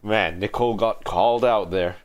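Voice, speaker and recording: A man's voice: on the low side, normal speed. A man giving casual, amused, exclamatory commentary as he streams a video game. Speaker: live streamer. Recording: game music behind the voice.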